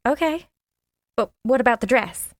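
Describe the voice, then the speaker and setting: An actress playing a frank, nosy working-class maid in a 1920s period audio drama, with a folksy, plain-spoken manner. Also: female voice, on the high side, expressive, normal speed, no echo, clean studio sound, lightly acted. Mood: eager, nosy. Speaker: actor.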